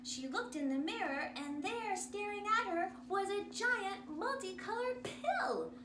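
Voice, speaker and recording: A woman reading a children's story aloud in a theatrical, expressive way. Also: extremely high pitch, unhurried. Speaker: drama teacher reading a picture book aloud. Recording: roomy, distant mic, noisy background.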